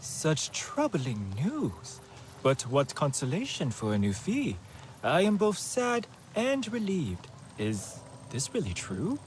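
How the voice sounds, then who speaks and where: Excitable, swooping male alien healer. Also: a little high, a little hiss or hum, full character voice. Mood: troubled relief. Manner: theatrical, halting phrasing. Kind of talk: video game acting.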